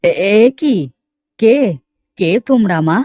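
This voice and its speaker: A woman's voice: medium pitch, expressive, slow pace, warm tone, no room sound, clean studio sound. A woman voicing a worried village father of three daughters in a cartoon story. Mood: shocked, worried.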